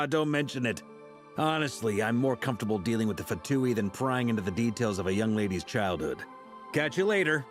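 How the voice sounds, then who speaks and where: A man plays a seasoned knight in a video game, jovial and easygoing. His mid-range voice is lively and varied, with a hearty, casual, teasing delivery. Music plays softly underneath. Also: mid-range voice, moderate pace, natural voice. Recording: music behind the voice. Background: background music.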